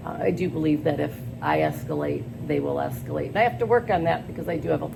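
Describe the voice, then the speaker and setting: An older woman speaks in a low, gravelly voice. She talks earnestly and haltingly, with plenty of ums and pauses. The recording carries steady background noise. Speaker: school staff job candidate. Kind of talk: job interview answers. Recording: noisy background.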